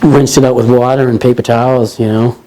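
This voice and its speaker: A man in his forties with a Boston accent, a truck driver chatting casually in an interview, relaxed and rambling with lots of "you know". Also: mid-range voice, fairly quick, crowd noise, rough recording. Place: office lounge, indoors.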